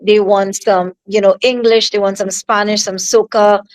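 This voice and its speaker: A woman singer from Trinidad with a Trinidadian accent, answering interview questions over a remote call in a soft, halting way with many fillers and restarts. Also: medium pitch, level tone. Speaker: singer guest.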